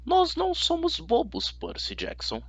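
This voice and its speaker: A man voicing a menacing female teacher who is secretly a monstrous hag, speaking slowly in a hissing, threatening way, in an audiobook. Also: extremely high pitch, wildly swooping pitch, fairly bright tone, husky, dry recording, lo-fi sound, voice pushed up, exaggerated voice. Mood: cold threat.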